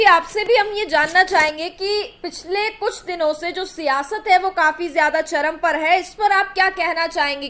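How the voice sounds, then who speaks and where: Lady tv anchor, really high bright voice, running a live news debate. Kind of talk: live TV panel debate hosting.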